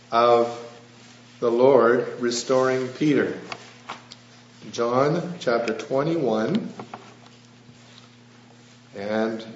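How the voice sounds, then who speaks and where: Man, missionary preacher, gentle and earnest, faint hum behind him. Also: medium pitch. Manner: soft-spoken, earnest, with frequent "uh" pauses. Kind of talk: sermon. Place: church hall. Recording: roomy lo-fi church recording with faint hum.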